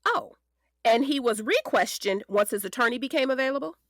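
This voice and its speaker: A woman playing a sharp, determined defense attorney in an audio drama, sarcastic yet caring, with pointed theatrical delivery. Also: a little high, lively intonation, fairly quick, no echo, clean studio sound.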